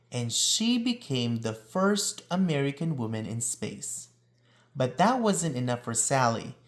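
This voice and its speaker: A man reading a children's picture book aloud in a deliberate, expressive storytelling delivery, drawing out words for emphasis. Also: medium pitch. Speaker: read-aloud reader.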